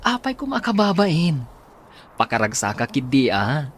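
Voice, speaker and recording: An actor playing an affectionate, cheerful young graduate in a radio drama, speaking eagerly and warmly. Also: male voice, a little high, wildly swooping pitch, moderate pace, breathy edge, heavily acted. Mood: happy, smitten. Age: young adult.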